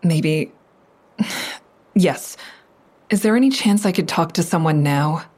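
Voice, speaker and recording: An actress playing an anxious, hesitant woman making a halting call for help in an audio drama. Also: sits low, a little mellow. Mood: hesitant, uneasy. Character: anxious, hesitant woman reporting a stalking ex-boyfriend. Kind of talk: audio drama acting, naturalistic phone dialogue.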